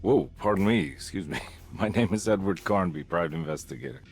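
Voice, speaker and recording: Low-voiced, wry private eye. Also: male voice, lively intonation, a little fast, gravelly, soft background music. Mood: smooth, insistent. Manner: wry, casually polite. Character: wry, unflappable male private investigator. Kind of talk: cinematic game voice acting.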